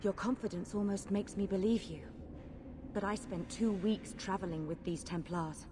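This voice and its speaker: A woman playing a proud rebel sorceress in a fantasy game. She speaks with earnest, persuasive passion at a medium pitch, in long reverb over faint noise. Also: normal speed, natural voice. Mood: urgent pleading. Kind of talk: dramatic video game acting.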